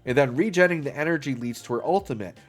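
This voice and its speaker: A man's voice: mid-range voice, fast, soft background music. A man hosting a gaming channel, talking casually through his gameplay. He sounds a little stuffy from an illness and fills his talk with "um" and "right?". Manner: upbeat, filler-heavy, talks while playing.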